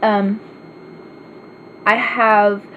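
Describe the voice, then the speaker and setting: A woman's voice: medium pitch, flat delivery, extremely slow. A woman with an Arkansas accent, chatting casually and rambling in a self-deprecating way in a home vlog. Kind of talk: casual vlog answering questions. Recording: slightly dull home recording with faint hiss.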